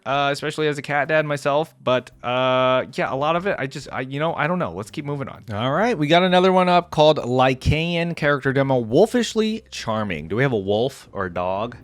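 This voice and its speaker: A man hosting a reaction video with casual, slangy commentary and excitable exclamations. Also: mid-range voice, smooth, no room sound. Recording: music playing behind the voice. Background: game trailer music.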